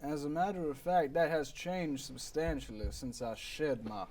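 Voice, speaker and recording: Man doing a theatrical revived Victorian poet, halting. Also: fairly high pitch, animated, a little mellow. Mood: musing. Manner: theatrical, halting, old-fashioned genteel phrasing. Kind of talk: improvised comedy character interview.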